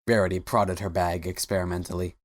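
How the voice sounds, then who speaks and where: A man's voice: deep voice, little pitch movement, a little fast, no room sound, studio quality. A man giving a scripted dramatic reading as the narrator of a story. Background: faint music.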